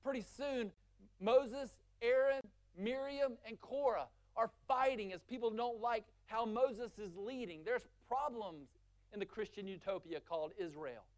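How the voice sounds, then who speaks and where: A male pastor preaching a sermon, building force through long lists and dropping low and quiet for key points. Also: a little slow.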